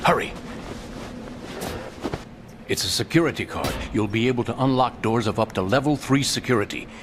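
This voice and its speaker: A man's voice: mid-range voice, normal speed, natural voice. Man acting a secretive undercover colonel, music behind. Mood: urgent. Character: secretive male intelligence colonel, undercover hostage, knowing and impatient. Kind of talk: dramatic video game voice acting.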